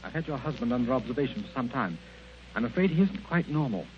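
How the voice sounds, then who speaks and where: Casting: protective doctor played by a man, urgent expressive delivery, dull old radio recording with faint noise. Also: mid-range voice, fast, natural voice. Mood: concerned, reassuring. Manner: earnest, urgent. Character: earnest, protective male doctor, increasingly desperate. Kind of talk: 1940s radio drama acting.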